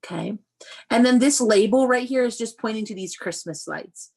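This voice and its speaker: A woman teaching young children through a read-aloud, explaining in an animated way and often putting questions to them. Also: on the high side, normal speed, creaky, breathy edge. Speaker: elementary school teacher.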